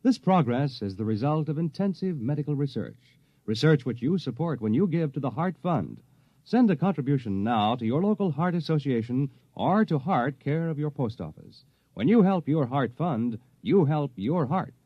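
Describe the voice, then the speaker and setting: A man reading 1950s radio announcements in an earnest, formal, measured manner. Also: fairly high pitch, big pitch swings. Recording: dull old radio, roomy echo.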